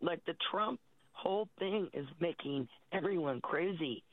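A woman calling in to a talk show, husky from a recent cold, talking in a rambling, halting way with many restarts. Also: fairly low pitch, animated, no room sound. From California. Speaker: caller. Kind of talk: phone-in call. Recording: tinny phone line.

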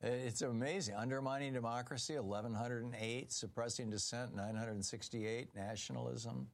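A man's voice: medium pitch, fairly even intonation, moderate pace. Man, American accent, talk radio host, mid-range voice, studio delivery. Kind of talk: live call-in talk and host-read ad.

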